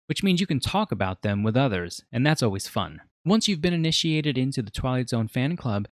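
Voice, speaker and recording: A man's voice: medium pitch, very animated, brisk, no echo, studio quality. A man in his forties narrating a scripted video review as its host, explaining in an earnest, emphatic way. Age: 40s.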